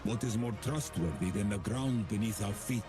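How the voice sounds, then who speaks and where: An actor playing a stern, defiant warrior from a video game, speaking in a grave, declamatory way. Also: male voice, on the low side, normal speed, music in the background, lightly acted. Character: defiant, stern warrior man, rallying against gods. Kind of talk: video game character acting.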